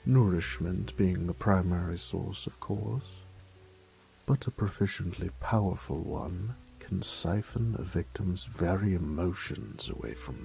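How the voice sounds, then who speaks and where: A man's voice: sits low, creaky, warm tone, full character voice, low-quality recording. A man playing an ancient, haughty, predatory vampire lord in an audio drama, with a pompous, condescending, theatrical drawl and whispered asides. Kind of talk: scripted roleplay audio drama.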